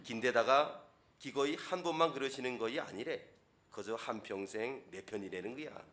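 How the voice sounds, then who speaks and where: A pastor putting on a bold North Korean villager. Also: male voice, mid-range voice, moderate pace, a bit bright, lightly acted. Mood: hearty. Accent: Pyongan dialect of North Korea. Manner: folksy, emphatic dialect reading. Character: plain-spoken North Korean man, hearty and bold.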